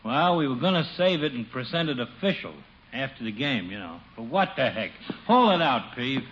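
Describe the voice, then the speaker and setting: An actor playing a breezy, wisecracking small-town barber, slangy and chummy, in a radio comedy. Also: male voice, medium pitch, big pitch swings, moderate pace, fairly bright tone. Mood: proud, joking. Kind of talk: 1940s radio comedy acting. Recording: dull, noisy old radio broadcast.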